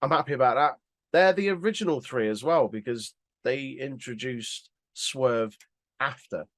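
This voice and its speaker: Podcast co-host, man, mid-pitched, dry studio-close sound, rambling and opinionated, informal and sweary. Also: normal speed, a bit bright, dry recording. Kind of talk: casual opinionated chat.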